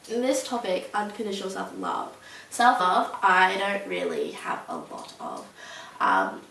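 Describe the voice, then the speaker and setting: A woman's voice: medium pitch, creaky, fairly bright tone. Young woman, hesitant interviewee, roomy distant sound with light hiss. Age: young adult. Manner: hesitant, many ums and likes. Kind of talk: casual interview answers.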